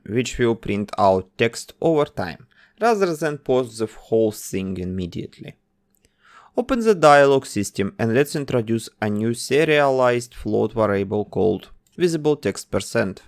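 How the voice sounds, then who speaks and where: Man with a lively, bouncing pitch. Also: a little slow. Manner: instructive, gives plain commands one step at a time. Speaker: game development tutor. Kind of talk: step-by-step coding tutorial narration.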